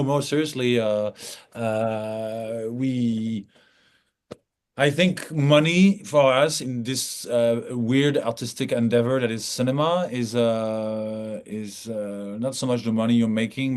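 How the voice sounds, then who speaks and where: A man from France speaks English as a second language in a relaxed interview setting. His pitch is middling, and he explains things colloquially, often voicing little hypothetical remarks. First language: French. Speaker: filmmaker being interviewed. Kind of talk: casual interview talk.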